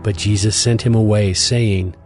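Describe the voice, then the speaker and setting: A man narrating a dramatized scripture reading in a steady, even storytelling voice. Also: lowish voice, moderate pace. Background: music.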